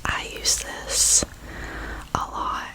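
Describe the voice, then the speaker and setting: A young woman with a Southern US accent, whispering softly for ASMR. She repeats words and phrases over and over into a close microphone. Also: very slow. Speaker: ASMR creator.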